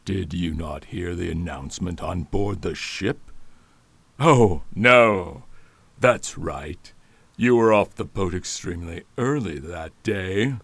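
A man playing a scheming villainous doctor, speaking in a silky, slow, quietly threatening way in a radio drama. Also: sits low, gravelly, dry recording, exaggerated voice. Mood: sly. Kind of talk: amateur radio drama acting.

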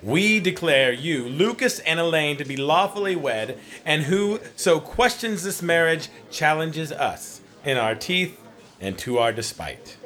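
A man plays an imperious duke in a radio play. His fairly high voice proclaims each phrase with pompous ceremony. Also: animated, measured pace, a bit bright. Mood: solemn, imperious. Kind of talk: audio drama acting.

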